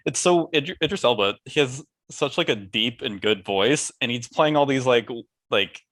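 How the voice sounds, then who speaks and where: A man's voice: medium pitch, lively intonation, moderate pace, fairly bright tone. A man co-hosting a casual talk show, chatting in an opinionated, wry way. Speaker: podcast co-host. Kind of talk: casual banter about movies.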